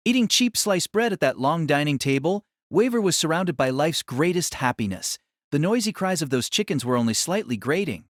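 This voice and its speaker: Guy reading a fantasy novel dramatically, slightly high voice, very dry studio sound. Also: big pitch swings, moderate pace, dry recording. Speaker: narrator. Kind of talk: dramatic scripted light novel narration.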